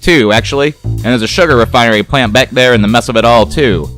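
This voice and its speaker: A man's voice: mid-range voice, fast, fairly bright tone, faint music behind, voice pushed up, close to an everyday voice. A man voicing a brash, sardonic ex-cop boat captain in a noir detective story, full of glib wisecracks. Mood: wry, offhand. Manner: glib, sarcastic, rambling wisecracks.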